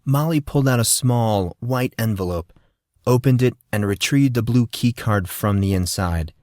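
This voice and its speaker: A man narrating a fantasy audiobook in steady, measured storytelling. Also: medium pitch, dry recording, clean studio sound.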